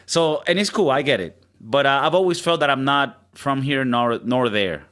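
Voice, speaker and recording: A man's voice: mid-range voice, moderate pace, a bit bright. A man in his thirties with a Spanish accent, co-hosting a casual podcast, rambling, swearing and stumbling over restarts. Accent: Spanish-speaking. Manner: rambling, stammering restarts, swearing, mimics other people. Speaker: podcast co-host. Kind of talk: casual chat.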